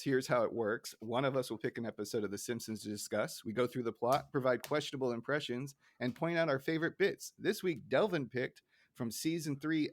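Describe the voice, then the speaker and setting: A man's voice: mid-range voice, no echo, studio quality. A middle-aged man hosting a podcast in a casual, conversational discussion style. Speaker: podcast host.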